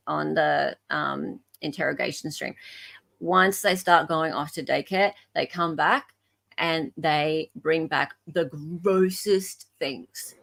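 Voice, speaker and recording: A woman's voice: sits low, vocal fry, fairly bright tone. Australian woman, middle-aged livestream host. Informal, chatty and playful delivery. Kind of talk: casual livestream chat.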